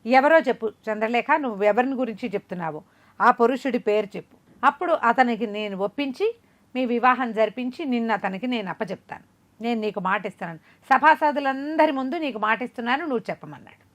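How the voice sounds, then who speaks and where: A just and generous king, as a woman storyteller puts him on, speaking calmly and with assurance. The voice is pitched a bit lower and softer than the teller's own talk, a gentle royal promise in a spoken folk tale. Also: brisk, natural voice. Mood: assuring. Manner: calm, assuring.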